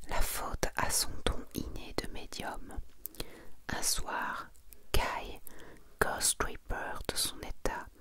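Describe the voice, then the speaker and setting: Woman, whispered ASMR, soft and hushed, very unhurried pace, presenter reading aloud. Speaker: ASMR video creator presenting a manga collection.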